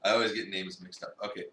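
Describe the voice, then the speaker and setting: A man's voice: low voice, animated, fairly quick, pushed and bright. A man co-hosting a stream, chatting casually. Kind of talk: casual chat. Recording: echoey room, distant mic, noisy.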